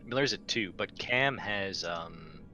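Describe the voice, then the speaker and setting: Low voiced guy playing a tabletop rpg, takes charge, casual, punchy tone, quiet music under. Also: expressive, takes it slowly. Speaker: tabletop game player. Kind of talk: casual in-character game chat.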